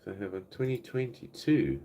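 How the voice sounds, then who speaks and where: A South African man with a very low voice hosts a relaxed livestream chat from home. He rambles easily between topics and reads out comments from the viewers. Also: moderate pace, a little hiss or hum. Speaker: livestream host. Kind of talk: casual live Q&A chat. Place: home, indoors.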